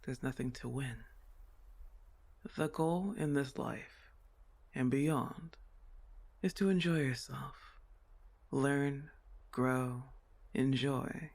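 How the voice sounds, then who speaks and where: Man voicing a creaky-voiced, comforting sleep paralysis demon. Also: mid-range voice. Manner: reassuring, unhurried, addresses listener directly. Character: comforting sleep paralysis demon, gentle therapist-like with teasing streak. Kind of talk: ASMR roleplay monologue.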